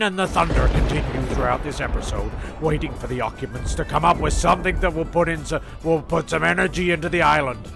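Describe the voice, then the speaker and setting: A man, narrating and voicing characters in a deadpan, exasperated way. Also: fairly high pitch, vocal fry. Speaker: narrator and show creator. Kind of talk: comedy narration.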